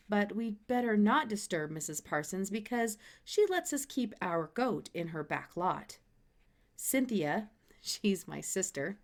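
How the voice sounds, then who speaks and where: Woman, mid-pitched voice, expressive children's story read-aloud, storybook narrator. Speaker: storybook reader.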